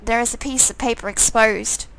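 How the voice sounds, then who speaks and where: A woman's voice: on the high side, fairly even intonation, moderate pace, fairly bright tone, clean tone, low-quality recording. A woman reading a visual novel game aloud, with sarcastic, amused asides in a casual style. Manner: sarcastic, amused commenting between read lines.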